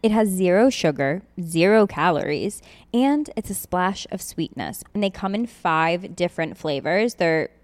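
A woman's voice: lowish voice, lively intonation, measured pace, dry recording. A young woman chatting through an ad read, bubbly and casual. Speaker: podcast host reading an ad.

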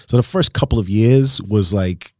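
A man's voice: on the low side, moderate pace, a little mellow, no echo, studio quality. A middle-aged man from Kenya, a DJ guest, telling his story in a laid-back way with frequent fillers. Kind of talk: casual interview.

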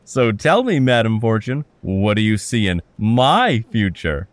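A man's voice: on the low side, big pitch swings, a little slow, no room sound. A man hosting a radio fundraiser with a showy, chuckling manner, thanking donors.

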